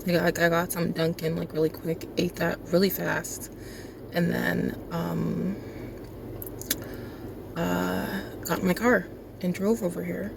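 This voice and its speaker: A woman's voice: on the low side, level tone, takes it slowly, creaky, long pauses, noisy background. Rambling, sleep-deprived young woman vlogging. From South Florida. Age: 20s. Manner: rambling and chatty with many fillers. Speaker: vlogger and night shift nurse. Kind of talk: casual vlog chat. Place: airport terminal.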